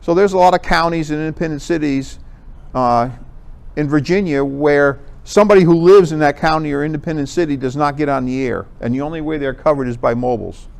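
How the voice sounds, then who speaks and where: Clear-voiced American man presenting. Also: a little high, fairly quick, a little mellow. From Connecticut, United States. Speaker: amateur radio contest organizer, presenter. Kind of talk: informal club presentation with slides.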